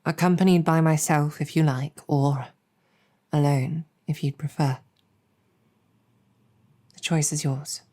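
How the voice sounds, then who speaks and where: A woman playing a gentle, earnest, world-weary demon general in an ASMR roleplay, speaking softly and reassuringly with measured hesitation. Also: sits low, steady tone. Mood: warm, inviting. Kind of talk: improvised ASMR roleplay storytelling.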